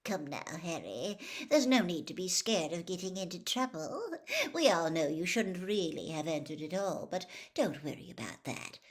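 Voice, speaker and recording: A woman voicing a pushy, gushing middle-aged female tabloid reporter from a storybook, brisk and falsely sweet as she probes. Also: fairly low pitch, animated, lightly acted. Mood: probing.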